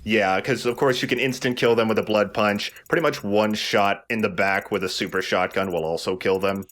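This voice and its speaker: A man's voice: on the low side, steady tone, normal speed, fairly bright tone. A man hosting a let's play, giving casual, explanatory gameplay commentary.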